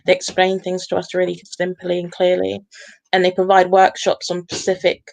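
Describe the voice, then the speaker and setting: A woman's voice: fairly low pitch, completely flat delivery, normal speed, creaky, darkish tone, low-quality recording. A woman who is a law student, speaking earnestly and steadily on a university panel. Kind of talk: panel discussion.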